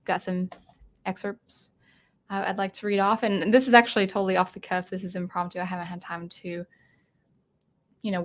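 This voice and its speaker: Woman chatting on her stream, mid pitch, loose and unscripted, lots of ums. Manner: off-the-cuff, rambling with fillers and restarts. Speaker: livestream host. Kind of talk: casual livestream chat.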